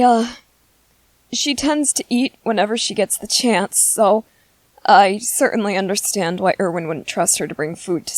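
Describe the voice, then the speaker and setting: A woman voicing a gentle, apologetic young male soldier in an ASMR roleplay, soft-spoken and earnest, with halting pauses. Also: mid-range voice, no echo, lightly acted. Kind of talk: ASMR roleplay audio drama.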